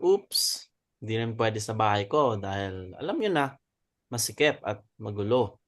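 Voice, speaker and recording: A lively Filipino man's voice that swoops up and down, an actor playing a cheeky club member in an audio drama. He is theatrical and quick to take offence. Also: lowish voice. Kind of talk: dramatic reading.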